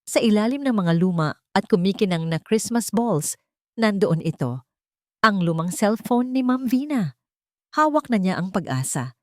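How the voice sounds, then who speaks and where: A woman's voice: medium pitch, animated, normal speed, dry recording, clean studio sound. A woman giving scripted, dramatic narration of audio fiction.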